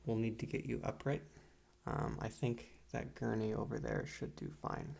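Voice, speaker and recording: A man playing a soft-spoken, quietly sinister psychiatrist in an ASMR roleplay, with measured, hesitant clinical speech and a husky, gravelly voice. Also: on the low side, wildly swooping pitch, a little hiss or hum, full character voice. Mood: calm, controlling.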